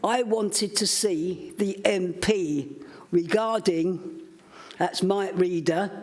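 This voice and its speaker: A woman addressing a council committee in a loud, insistent, campaigning manner. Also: mid-range voice. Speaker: public speaker at council meeting. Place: council chamber.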